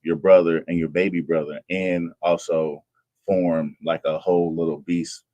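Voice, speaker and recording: A man's voice: sits low, flat delivery, normal speed, warm tone, dry recording. A man, talking earnestly and plainly as a guest in a conversational interview. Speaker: personal trainer, guest.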